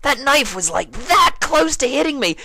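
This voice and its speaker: A man voicing a brash, excitable young female pegasus pony in a gushing, exclaiming way for a story reading. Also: very high voice, normal speed, voice pushed up, full character voice. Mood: thrilled, exhilarated.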